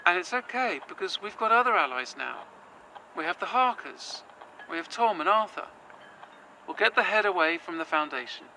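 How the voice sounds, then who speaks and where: A man's voice: high voice, lively intonation, moderate pace, pushed and bright, a little hiss or hum, heavily acted, rough recording. An actor playing a nervous, earnest young man who stumbles over his words. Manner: nervous, earnest, hesitant with fillers. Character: anxious, hopeful young man, possessed. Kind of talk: audio drama acting.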